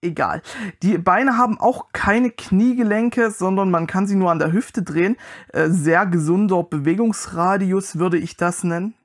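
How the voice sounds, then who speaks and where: A man's voice: high voice, moderate pace. A man giving casual, wry and critical commentary as he reviews an unboxing.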